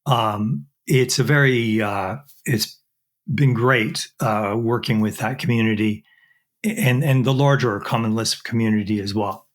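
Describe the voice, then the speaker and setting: A man's voice: mid-range voice, steady tone, a little slow. A middle-aged man, a chemistry professor, explaining technical work in an interview with frequent fillers and restarts.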